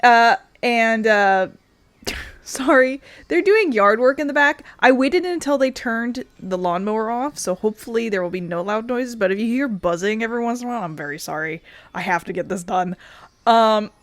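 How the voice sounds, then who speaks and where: A woman's voice: on the high side, lively intonation, normal speed, dry recording. Chatty woman doing casual game commentary. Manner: chatty, rambling. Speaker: game streamer. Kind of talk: casual let's-play commentary. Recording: faint game music behind voice.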